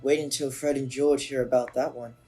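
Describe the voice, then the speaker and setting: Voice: mid-range voice, little pitch movement, a little fast, mellow, soft background music, close to an everyday voice. An actor playing a restless, earnest teenage wizard boy in an audio drama. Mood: impatient. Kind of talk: fan audio drama acting.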